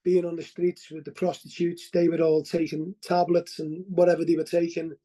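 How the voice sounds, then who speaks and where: A man's voice: fairly high pitch, flat delivery, normal speed, mellow. A middle-aged man with a Liverpool accent giving a candid, rambling testimony at a recovery meeting over a video call, often asking "you know what I mean?" and restarting his words. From Liverpool, England.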